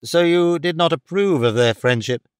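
A man acts out a confident detective for an audiobook. The voice is pitched up from a narrating tone and very clean. He questions people with assured, formal courtesy. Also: moderate pace, clean tone, no echo, clean studio sound, natural voice. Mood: polite, probing. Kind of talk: audiobook character dialogue.

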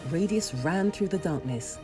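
A woman reading a children's storybook aloud with dramatic, animated expression. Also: on the low side, moderate pace, over music. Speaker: storybook reader.

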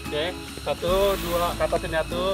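A man's voice: a little high, flat delivery, a little fast. A man in his thirties hosting a casual camping vlog outdoors, upbeat and chatty, talking straight to viewers. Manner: upbeat, addresses viewers as guys. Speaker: camping vlogger host. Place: outdoors on a lakeside field. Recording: background music.

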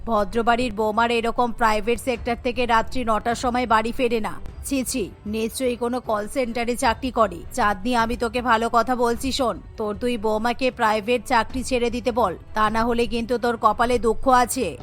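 A woman's voice: a little high, steady tone, moderate pace, fairly bright tone, soft background music, pitched below the performer's own voice, close to an everyday voice. A woman voicing a disapproving, gossiping older woman guest in a cartoon, scolding in a judgmental way. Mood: scandalized.

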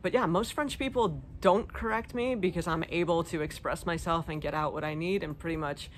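A woman's voice: on the low side, brisk. A woman in her thirties with an American accent, chatting informally and self-deprecatingly as she answers questions in a vlog. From United States. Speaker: vlogger. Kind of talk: casual chat answering viewer questions. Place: outdoors in a leafy garden. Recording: clear outdoor background noise, garden ambience.

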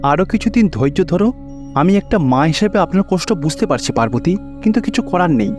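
A man voicing a calm, compassionate male god in a cartoon devotional tale, speaking in a solemn, gentle, patient manner. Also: fairly high pitch, brisk, music in the background.

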